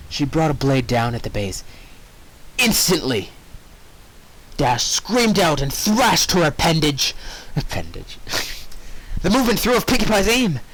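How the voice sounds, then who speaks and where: A man's voice: a little high, a bit bright, a little hiss or hum, rough recording. A man reading fan fiction aloud informally, expressively breaking off for squeamish asides. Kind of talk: informal story narration with reactions.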